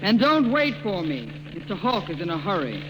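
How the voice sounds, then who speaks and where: Actress as tart secretary, noisy old radio. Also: female voice, medium pitch, very animated, moderate pace, lightly acted. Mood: sarcastic, impatient. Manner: tart, impatient. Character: curt, sarcastic, disdainful female office secretary. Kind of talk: old-time radio drama acting. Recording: noisy vintage radio recording.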